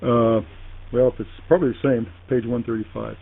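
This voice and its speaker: A man in a casual two-way radio chat, speaking haltingly and deliberately with frequent pauses. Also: mid-range voice, steady tone, vocal fry, slightly rough. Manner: halting, deliberate, pausing often. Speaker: ham radio operator in a contact. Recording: tinny phone-like internet radio link.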